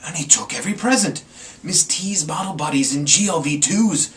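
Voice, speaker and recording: A man's voice: a little high, moderate pace, a bit bright. A man giving a playful, theatrical dramatic reading of a rhyming Christmas story as the storyteller. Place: room with a green screen. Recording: distant mic, some background noise.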